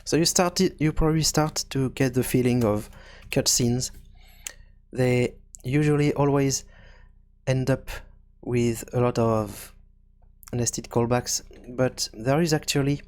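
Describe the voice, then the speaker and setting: A man hosting a casual screencast programming tutorial, thinking aloud in a halting way and often repeating words as he goes. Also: mid-range voice, steady tone, a little mellow. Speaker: tutorial host and game developer.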